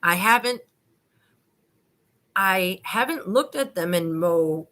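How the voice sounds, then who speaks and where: A woman's voice: medium pitch, lively intonation, pushed and bright. Male witness under questioning read by a woman, flustered and evasive, stumbling through repeated half-sentences. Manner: halting, repetitive, broken phrasing.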